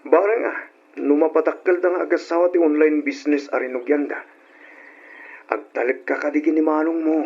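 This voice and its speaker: A man acting in an old radio drama as a patient, kind-hearted, placating husband with a creaky voice. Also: on the high side, steady tone, brisk, natural voice. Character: patient, kind-hearted husband who helps his relatives. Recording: muffled, noisy old radio drama recording.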